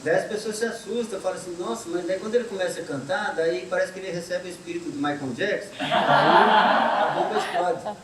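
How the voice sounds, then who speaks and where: A middle-aged man from Brazil, a guest telling funny anecdotes in a lively, joking way. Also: high-pitched, a little fast. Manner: animated, joking storyteller. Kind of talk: casual anecdote. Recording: echoey room from far off, clear noise.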